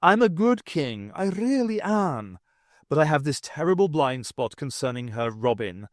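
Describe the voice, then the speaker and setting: A man's voice: on the high side, big pitch swings, no room sound, studio quality. A man voicing a moody king who growls and mocks cruelly, then turns to self-pity. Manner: growling, mocking, slow and drawn out.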